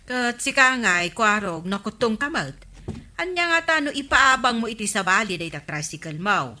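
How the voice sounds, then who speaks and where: A woman's voice: fairly high pitch, very animated, moderate pace, pushed and bright, a little hiss or hum, heavily acted. An actress with an Ilocano accent playing a querulous, gossipy village woman in a radio comedy drama.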